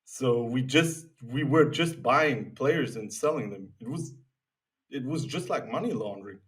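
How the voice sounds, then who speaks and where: A man's voice: mid-range voice, expressive, a little slow, far from the mic. A man co-hosting a casual sports podcast, speaking bluntly with many hesitations and restarts. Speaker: podcast co-host.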